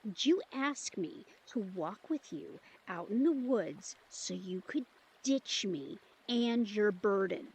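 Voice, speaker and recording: A woman playing an insecure, teasing, newly turned vampire in an intimate audio drama, earnest and hesitant, with a slightly breathy voice. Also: medium pitch, expressive, slow pace, a little mellow, heavily acted, poor audio. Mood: wary, hurt.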